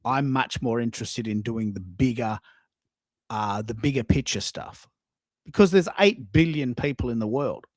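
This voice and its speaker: A man's voice: mid-range voice, normal speed, no room sound, studio quality. A man hosting a casual chat in an informal, self-deprecating way, often restarting his sentences. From Australia. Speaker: host.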